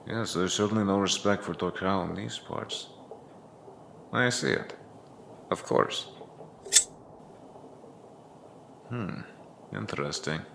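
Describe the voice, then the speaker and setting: An actor playing a gruff, dry and knowing police chief in a dark fantasy audio drama, curt and condescending. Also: male voice, sits low, a little slow, long pauses, slight background noise, heavily acted.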